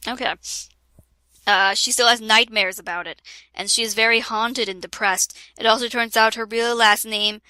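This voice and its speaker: A woman's voice: on the high side, steady tone, moderate pace, pushed and bright, dry recording. A woman reading a parody fan fiction aloud with a friend, giggling and breaking into laughter and sarcastic asides. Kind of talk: comedic dramatic reading with a friend.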